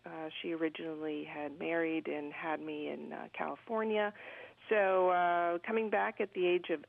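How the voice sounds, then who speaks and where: Woman from colombia, heavy vocal fry, earnest interview talk, thin phone-like radio sound. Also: sits low, steady tone, moderate pace, no room sound. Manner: earnest, articulate, frequent ums. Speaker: business guest. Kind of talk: interview conversation.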